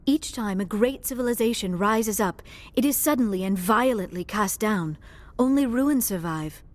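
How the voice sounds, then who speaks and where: An actress playing an earnest young alien researcher in a video game, speaking in a formal, precise, measured way. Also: female voice, mid-range voice, animated, natural voice. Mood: intent, scholarly. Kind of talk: scripted video game dialogue.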